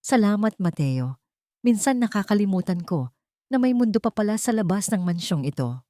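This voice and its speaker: A woman acting a softly murmuring, grateful wounded wife. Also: mid-range voice, very animated, normal speed, no echo, clean studio sound, lightly acted. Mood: shy grateful. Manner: whispery murmur, slight tremble.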